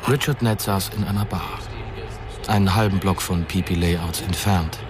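A man's voice: deep voice, moderate pace, background noise, natural voice. A male narrator reading scripted German science-fiction radio drama narration in a composed, literary way. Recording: music behind.

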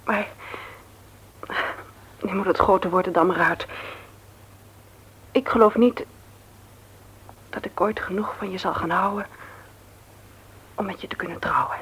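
An actress playing a gentle, tactful woman who is reluctant in love, in a radio drama. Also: mid-range voice, fairly even intonation, a little slow, pauses often, slight background noise, close to an everyday voice, rough recording. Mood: gentle, serious. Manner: hesitant, tactful, careful phrasing. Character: gentle, reluctant woman turning down her suitor. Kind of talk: intimate radio drama dialogue.

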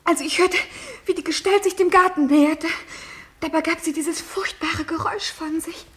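An actress playing a spirited, anxious young daughter in a 1950s German radio play, melodramatic and urgent. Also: female voice, very high voice, steady tone, moderate pace. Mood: urgent recounting. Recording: faintly noisy 1950s radio recording.

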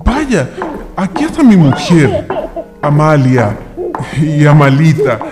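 Radio play role: genial gentleman , male actor, effusive and theatrical, swooping intonation, leisurely pace. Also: on the high side, very animated, slow pace, music in the background. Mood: welcoming. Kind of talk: radio drama acting.

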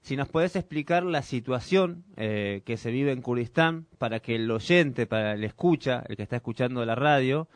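A male radio host with an Argentine accent speaks at a mid pitch. He patiently rewords his questions so his guest can follow. The studio sound is dry and close. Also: lively intonation, no echo. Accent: Argentine Spanish. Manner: patient, rephrases questions slowly. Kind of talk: radio interview.

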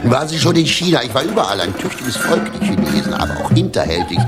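A man's voice: medium pitch, huge pitch swings, quick pace, loud music behind the voice. A man acting a tipsy, cheeky working-class vagrant in a German radio drama, speaking in a theatrical, mocking manner.

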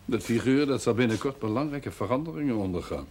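An actor playing a blunt but kindly older village doctor in a television period drama, curt and paternal. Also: male voice, mid-range voice, animated, fast talker, a little mellow, close to an everyday voice. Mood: stern, probing. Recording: noisy old television soundtrack.

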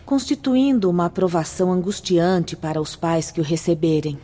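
An actress with a Brazilian accent playing a solemn, kindly spirit instructor in a radio drama, explaining things formally. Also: female voice, fairly low pitch, normal speed, dark tone, lightly acted. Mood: grave, compassionate. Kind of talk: radio drama acting, didactic.

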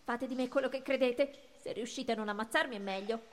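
A woman playing a mischievous, mock-courteous little boy in a theatrical radio play, excitable and given to repeating phrases. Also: a little high, brisk, close to an everyday voice. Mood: fawning excitement. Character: mischievous, cheerfully wicked little rascal boy of marble.

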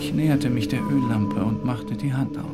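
A man playing a tormented, half-mad painter in a dramatic literary narration, speaking with slow, halting intensity. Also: mid-range voice, over loud music, lightly acted. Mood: shaken, dread. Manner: slow, halting, intense, dropping at times to whispers.

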